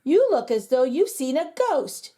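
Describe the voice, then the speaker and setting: Woman doing a high-pitched, flustered schoolteacher. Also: sing-song, a little slow. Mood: puzzled. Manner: high, bright, exclaiming teacher tone. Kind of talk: storybook character voice.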